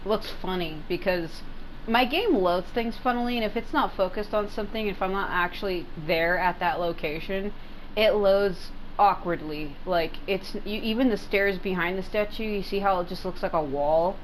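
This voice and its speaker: A woman's voice: medium pitch, moderate pace, low-quality recording. A woman giving casual, rambling gameplay commentary, often repeating herself for emphasis. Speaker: gaming video host. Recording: faint background noise and game ambience.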